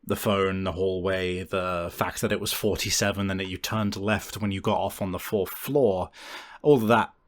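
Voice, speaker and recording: A man with a fairly low voice narrates a tabletop roleplaying game as its game master. He improvises informally, pausing and rephrasing as he explains the scene to a player. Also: fairly even intonation.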